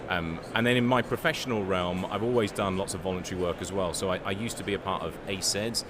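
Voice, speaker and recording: Low-voiced man, noisy surroundings. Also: steady tone, quick pace. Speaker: interview guest, business founder. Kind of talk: casual interview chat. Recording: clear urban background noise.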